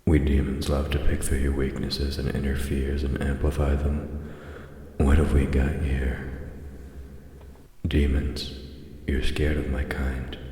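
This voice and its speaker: Very deep-voiced taunting demon played by a man. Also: a little mellow, a little hiss or hum. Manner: teasing, menacing, drops into whispers. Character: taunting, predatory male demon training a human hunter. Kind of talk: audio roleplay acting, addressed to the listener.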